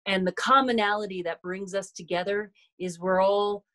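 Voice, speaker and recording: A woman's voice: medium pitch, fairly even intonation, moderate pace, a bit bright. A woman speaking as an interview guest in a composed, businesslike way. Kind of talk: interview answers.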